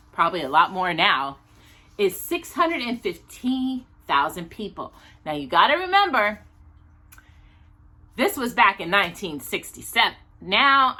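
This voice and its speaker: A woman's voice: mid-range voice, sharp tone. An American woman from Texas reading a nonfiction children's book aloud as a storytime host, with chatty, informal asides. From Texas, United States. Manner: chatty asides, stumbles over longer words.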